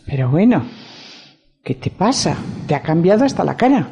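A woman's voice: lowish voice, big pitch swings, moderate pace, dark tone, soft background music. A woman with a Spanish accent playing a firm, defensive lawyer in an amateur radio soap opera. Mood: puzzled. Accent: Spain.

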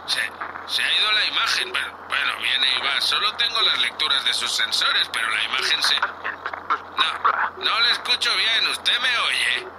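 A man playing an eager, cheeky young spaceship crewman in an audio drama, chatty and excitable. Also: fairly high pitch, measured pace, very noisy. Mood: anxious. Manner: excitable, chatty, playfully impudent. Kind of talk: audio fiction acting.

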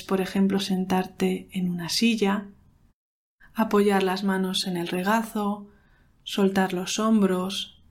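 A woman guides a meditation at a medium pitch. She speaks calmly and without hurry, leaving pauses between short phrases in a soothing manner. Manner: calm, unhurried, soothing, with pauses. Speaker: meditation guide, podcast host.

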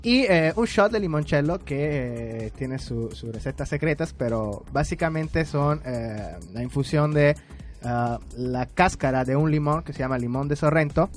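A man's voice: fairly high pitch, expressive, a little slow, soft background music. A man with an Italian accent, a mixologist guest on a radio show, talking casually, hesitating and searching for words. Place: radio studio.

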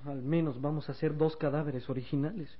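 A man playing an anxious, wry young boy companion in a vintage radio serial, with a husky, pleading voice. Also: a little high, fairly even intonation, brisk, lightly acted. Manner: worried, pleading, questioning. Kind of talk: vintage Spanish-language radio serial acting. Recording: dull, lo-fi old radio serial recording.

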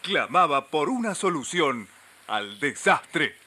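Man as a declamatory, bright-voiced radio serial narrator. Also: on the high side, big pitch swings, slow, full character voice. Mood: solemn, dramatic. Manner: declamatory, formal. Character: solemn melodramatic radio serial narrator and court prosecutor. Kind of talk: amateur radio play, scripted narration.

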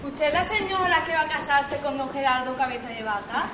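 Woman doing a sharp-tongued jilted mom, sarcastic and slangy, high voice, echoey farce recording. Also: fairly even intonation, fairly quick, crowd noise, close to an everyday voice. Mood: aggrieved, sarcastic. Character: brassy, aggrieved jilted working-class mother, sharp-tongued. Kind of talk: amateur comic radio theatre. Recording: roomy stage recording with loud background noise.

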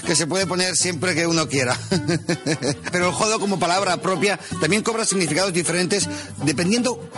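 A man's voice: high voice, normal speed. A man giving a humorous mock-didactic language lesson as a presenter. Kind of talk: humorous mock-lecture narration. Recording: music bed behind voice.

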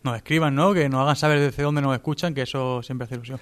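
A man's voice: mid-range voice, fairly even intonation, fast talker. A man with an accent from Spain co-hosting a radio show, delivering comic banter and mock-solemn news in a playfully grandiose way. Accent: Spanish from Spain. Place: radio studio.

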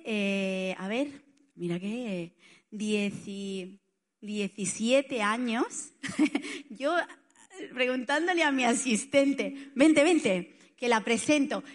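A woman's voice: a little high, fairly bright tone. A middle-aged woman from Spain with an Italian tinge to her accent, addressing a congregation informally and jokingly as a visiting pastor. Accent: Spanish with an Italian tinge. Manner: joking, chatty.

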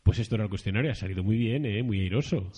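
A man's voice: lowish voice, brisk, mellow, breathy edge, dull audio. A man hosting an interview with casual questions and chat. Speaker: interview host.

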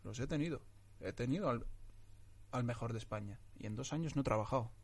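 A man's voice: medium pitch, quick pace. A man in his twenties, an actor, talking casually in an interview and restarting his sentences often with many fillers. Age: 20s. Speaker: actor guest.

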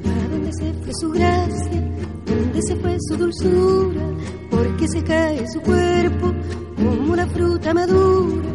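A woman's voice: very high voice, takes it slowly. A woman performing as a solemn folk singer, singing mournful verse. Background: loud music.

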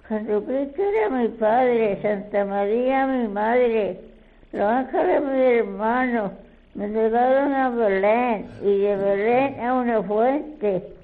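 A woman as a slow, praying villager. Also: fairly high pitch. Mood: devout. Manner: measured, incantatory. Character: devout villager reciting an old folk prayer. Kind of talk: recited traditional rhymed prayer. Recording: thin, phone-like narrow sound.

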